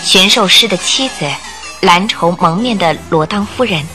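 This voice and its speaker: A woman's voice: mid-range voice, moderate pace, bright, forceful tone, soft background music. A woman narrator telling a scripted radio drama story in a vivid, emphatic way.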